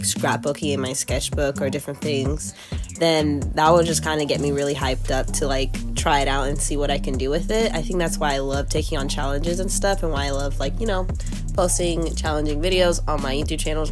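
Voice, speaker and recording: A woman art YouTuber chatting casually while sketching, chatty and upbeat with lots of fillers. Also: sits low. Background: background music.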